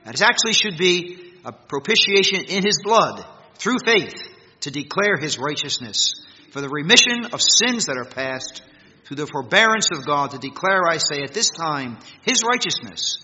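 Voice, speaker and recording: An American man preaching a sermon in a church meeting hall, reading scripture and stressing words emphatically, swinging between loud peaks and quiet drops. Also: on the high side, sing-song, moderate pace, slight background noise. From United States. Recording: echoey hall, dull lo-fi sound.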